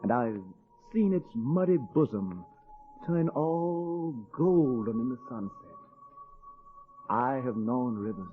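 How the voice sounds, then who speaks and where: A man's voice: medium pitch, lively intonation, slow, soft background music. A man acting a lonely, dreamy young poet in a radio drama, speaking in an earnest, heartfelt way. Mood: reverent, soulful. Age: young adult. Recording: thin, phone-like old radio recording.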